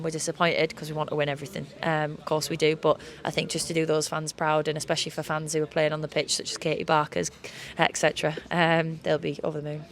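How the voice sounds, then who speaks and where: A woman football coach giving a measured, upbeat post-match interview pitchside at an outdoor stadium, with frequent "you know" fillers.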